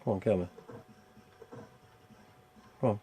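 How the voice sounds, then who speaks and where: A man's voice: fairly low pitch, slow, mellow, breathy edge. A man giving casual, rambling gameplay commentary, thinking aloud as he plays and reviews a video game.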